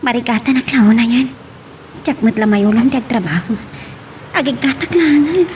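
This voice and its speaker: A woman's voice: on the high side, normal speed, noisy background, lightly acted. A woman playing a heartbroken, worn-out young daughter in a melodramatic Ilocano audio drama. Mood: hopeless. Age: young adult. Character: heartbroken, exhausted young daughter who has lost hope.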